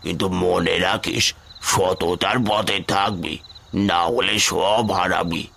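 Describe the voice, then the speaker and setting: A man's voice: low voice, steady tone, slow, vocal fry, a bit bright, exaggerated voice. A man playing a kindly old forest hermit in a cartoon, speaking like a fatherly adviser who gives blessings. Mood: benevolent, solemn. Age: older.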